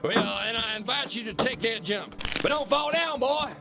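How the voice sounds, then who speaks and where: A man's voice: very high-pitched, expressive, moderate pace, vocal fry, music in the background, full character voice. A man playing a bombastic, corrupt kingpin boss in an audio drama, blustering, mocking and condescending. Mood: taunting, menacing. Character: bullying, corrupt alpha-male kingpin boss, bombastic and scornful. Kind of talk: theatrical radio-play acting.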